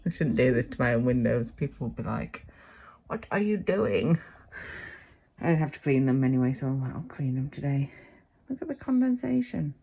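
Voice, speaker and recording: A woman vlogging from a cold sunroom at home first thing in the morning, chatting in a rambling way with plenty of ums, her voice still croaky from sleep. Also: rumbling low, big pitch swings, moderate pace, dark tone, highs cut off. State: croaky, just woken up. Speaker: vlogger, mother. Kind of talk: casual morning vlog chat to camera.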